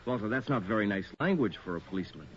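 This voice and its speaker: Actor as a wry, lively newspaper reporter. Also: male voice, on the low side, fast talker, lightly acted. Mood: wry insistence. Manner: wry, conversational, needling. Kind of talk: 1980s radio drama acting, one side of a phone call. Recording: muffled, slightly noisy radio recording.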